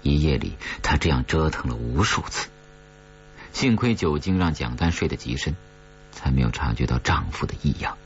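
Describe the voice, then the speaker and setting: Male horror audiobook narrator, very deep, dramatic scripted read, slightly muffled recording. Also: animated, normal speed. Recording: dull recording with mains hum.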